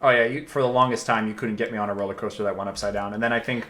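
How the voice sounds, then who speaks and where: A young man chatting casually with a co-host while drawing, relaxed and laughing through his stories. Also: lowish voice, rapid-fire, slight background noise. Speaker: streaming artist. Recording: faint music behind.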